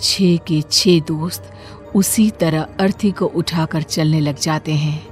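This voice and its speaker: Female horror-story narrator, slightly low register, scripted delivery over faint music. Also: fairly even intonation, slow pace, a little mellow.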